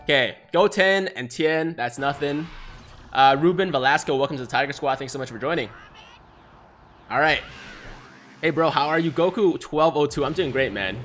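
A young man from China, a native Mandarin speaker, excitedly streaming live gaming commentary on a headset and reading chat aloud. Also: fairly high pitch, moderate pace, pauses often, soft background music. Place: small room, seated in gaming chair.

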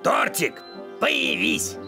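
A man's voice: sits high, expressive, drawn-out words, vocal fry, music in the background, exaggerated voice. A man voicing a mischievous, bossy caterpillar with a pompous, childish manner in a children's cartoon. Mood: demanding.

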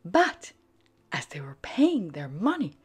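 Female storybook reader: breathy, very unhurried, sing-song delivery for young children. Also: lowish voice, huge pitch swings. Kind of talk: expressive scripted storybook read-aloud for young children. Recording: background music under the voice. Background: music.

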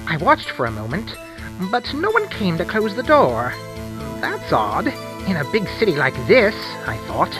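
Shifty wordy salesman on the witness stand, played by a woman, pitch jumps around, music behind. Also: wildly swooping pitch, a little slow, rough recording. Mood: nervous, evasive. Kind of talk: anime game fan dub, theatrical testimony.

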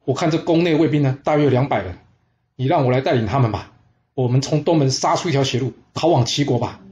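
A man storyteller playing a calm, commanding master archer, assured and protective, in a tale of ancient China. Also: mid-range voice, fast talker, close to an everyday voice. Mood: resolute.

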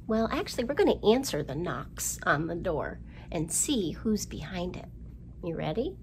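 Middle-aged female storytime host, very varied pitch, child-directed delivery, some clear background noise. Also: big pitch swings, moderate pace. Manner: simple child-directed talk with questions and exaggerated delivery. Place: indoor room, seen at a storytime backdrop.

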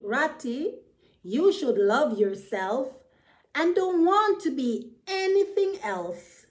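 A woman's voice: high-pitched, big pitch swings, slow pace, heavily acted. A woman voicing a frank, caring bird in a children's storybook, admonishing and encouraging a friend with emphasis.

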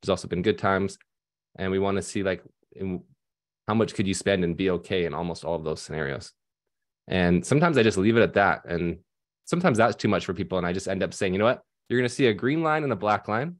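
A deep-voiced man in his thirties speaks over a headset mic on a video call from a room at home. He explains casually and loosely, full of fillers and restarts. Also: expressive, fast. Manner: conversational with many fillers and restarts. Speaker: financial advisor panelist. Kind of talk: casual panel discussion.

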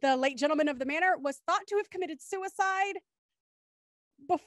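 Young woman host with a high-pitched voice. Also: fairly quick, no room sound, studio quality. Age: young adult. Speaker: show host. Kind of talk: upbeat livestream hosting, introducing guests. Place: small room at home, video call. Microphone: studio microphone on a stand, headphones.

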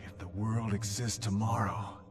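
A male actor playing a grave, solemn narrator in a horror game, speaking in measured, portentous phrases. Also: fairly low pitch, fairly even intonation, close to an everyday voice. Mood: grave, ominous. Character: grave male narrator warning of ancient evil. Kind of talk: solemn scripted cinematic narration. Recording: reverberant voice over loud music.

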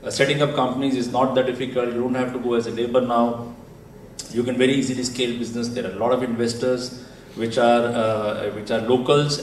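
Man, echoey distant hall. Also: mid-range voice. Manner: steady, frequent "you know" fillers and restarts. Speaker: panelist. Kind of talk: panel discussion. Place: large conference hall stage, seated panel. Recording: echoey hall, distant mic, faint noise.

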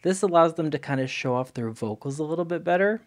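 A man's voice: on the high side, animated, fast, noisy background. Young guy kinda stuffy, gushing casually about a music video, home studio mic. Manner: enthusiastic, chatty, frequent "wow, okay". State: stuffy nose. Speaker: music video reaction host. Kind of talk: casual reaction commentary. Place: home studio room with brick-pattern backdrop. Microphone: studio mic on stand with headphones.

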